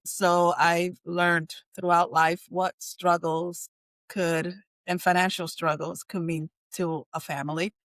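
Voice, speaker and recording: A woman's voice: lowish voice, fairly bright tone, no echo. A middle-aged woman from Puerto Rico, raised in New Jersey, giving candid, conversational answers as an interview guest. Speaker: interview guest, counseling center chief executive.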